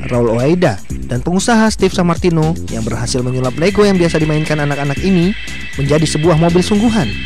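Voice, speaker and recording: A man reading a scripted news voice-over as a reporter. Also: a little high. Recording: music bed under voice.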